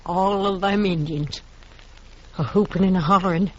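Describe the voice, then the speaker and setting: Actress as stubborn frontier widow, muffled old radio. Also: female voice, fairly low pitch, moderate pace, lightly acted. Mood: fervent recollection. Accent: American. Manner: plain folksy speech, fervent, dramatic. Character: stubborn, grieving frontier homestead widow, defiant. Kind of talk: old-time radio western acting. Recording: muffled old radio recording with faint noise.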